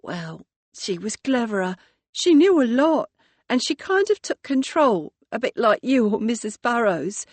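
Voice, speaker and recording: A woman's voice: fairly high pitch, very animated, a little slow, no echo. A woman voicing a chatty young female hotel worker who talks in a confiding, gossipy way.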